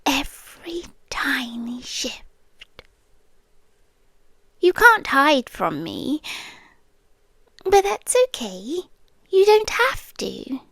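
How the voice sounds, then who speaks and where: Woman acting a slow, high-voiced British vampire girlfriend. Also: dry recording, heavily acted. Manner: soft, breathy, often dropping into whispers, coaxing. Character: possessive, obsessively adoring female vampire girlfriend. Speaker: ASMR roleplay performer. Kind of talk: ASMR roleplay, slow intimate delivery with whispering.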